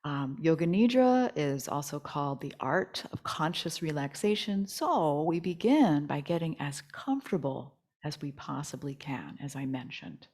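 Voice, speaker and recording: A woman teaching yoga nidra, leading a guided relaxation meditation in a soothing, gentle, unhurried manner. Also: deep voice, animated.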